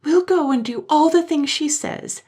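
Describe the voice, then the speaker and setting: A woman voicing a group of young children who cry out and beg in high, breathless voices, in a storybook reading. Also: normal speed, dark tone, close to an everyday voice. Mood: pleading. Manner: high, breathless, pleading cries.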